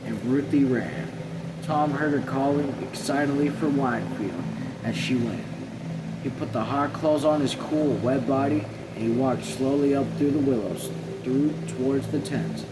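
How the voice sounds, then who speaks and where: Man reading a novel over loud noise. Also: mid-range voice, normal speed. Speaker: read-along narrator. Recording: loud steady air or car noise.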